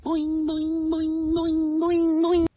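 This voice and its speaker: Cheery bunny sidekick for a kids' story show, high-pitched, slow and bouncy. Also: completely flat delivery, dark tone, no room sound, full character voice. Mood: playful, cheerful. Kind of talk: children's story show character.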